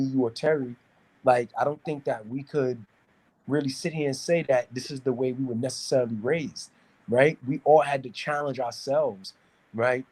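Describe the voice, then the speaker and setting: Halting man. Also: medium pitch, a little mellow, rough recording. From New York City, United States. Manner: halting, repeats words, searching for phrasing. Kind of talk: casual discussion, opinionated talk.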